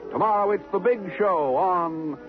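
A man's voice: sits high, unhurried, muffled recording. A man announcing from a script in 1950s radio style, on an old broadcast. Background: loud music.

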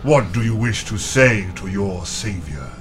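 A man's voice: on the low side, expressive, unhurried, music in the background. An actor playing a stern, devout male keeper of a demonic order.

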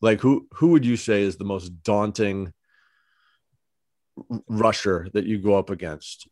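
A man's voice: lowish voice, unhurried, a little mellow, no echo. Male interviewer, stammering and chatty, dry close recording. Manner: chatty, stammering, laughs often. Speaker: interviewer, host. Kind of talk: casual interview.

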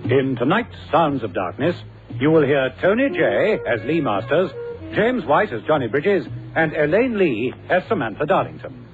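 A man's voice: fairly high pitch, sing-song, normal speed. A man reading a scripted introduction as the announcer of a vintage radio drama. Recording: tinny old radio broadcast with music. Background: music.

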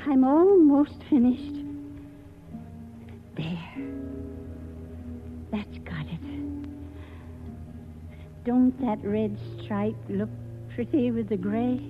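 Slow-spoken old American country wife. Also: female voice, a little high, expressive. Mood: stubborn, fond. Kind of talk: 1940s radio acting. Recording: tinny old radio broadcast, music behind.

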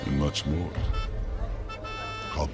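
An actor playing a sinister tour guide in a radio drama, formal and courteous. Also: male voice, a bass voice, little pitch movement, very slow, full character voice. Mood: courtly. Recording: music and crowd behind the voice.

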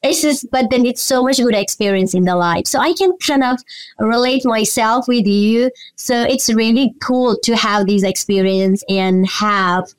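A woman's voice: a little high, a little slow, dry recording, poor audio. A woman from Nepal, hosting an interview in an enthusiastic, rambling way. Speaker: podcast host. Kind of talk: interview chat.

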